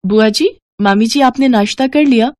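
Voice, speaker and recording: A woman's voice: on the high side, normal speed, a little mellow, a little hiss or hum, close to an everyday voice. A woman voicing a naive, sweet young new bride, polite and earnest, in a cartoon story. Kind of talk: Hindi cartoon story voice acting.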